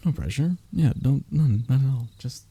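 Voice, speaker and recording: A man playing a lovesick young royal heir in an intimate romance roleplay, stammering and hesitant, with a husky, breathy voice. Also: medium pitch, lively intonation, normal speed, dark tone, dry recording. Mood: flustered, eager. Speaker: voice actor in audio roleplay.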